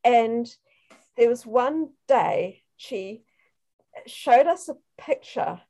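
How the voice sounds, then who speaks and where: A woman's voice: fairly high pitch, animated, drawn-out words, warm tone, poor audio. A woman from New Zealand with a New Zealand accent, telling her story as an interview guest over a video call. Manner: enthusiastic storyteller. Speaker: guest researcher.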